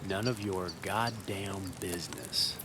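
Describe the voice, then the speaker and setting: Low, confrontational tough guy. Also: takes it slowly, background noise. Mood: hostile, sarcastic. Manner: curt, confrontational, sarcastic. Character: gruff, threatening, loyal male outcast guarding his friends. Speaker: hostile local character. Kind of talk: video game dialogue.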